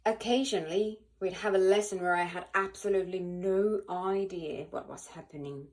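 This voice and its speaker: A woman reading a children's picture book aloud in an animated, expressive way, with dramatic pauses and emphasis. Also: lowish voice. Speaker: volunteer children's book reader.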